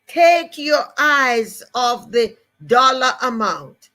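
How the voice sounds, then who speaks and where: Older Jamaican woman, motivational speaker, slow and emphatic, slightly high voice, repeats key lines. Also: expressive, sharp tone. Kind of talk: motivational live-stream talk.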